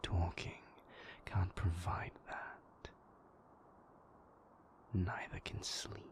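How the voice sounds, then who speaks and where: Guy doing a moody vampire boyfriend audio rp, really slow and whispery, formal but tender. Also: sits low, flat delivery, exaggerated voice. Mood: weary, tender. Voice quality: breathy, whispery. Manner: slow, formal, measured phrasing. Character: moody, brooding, tender male vampire lover. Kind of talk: intimate whispered audio roleplay.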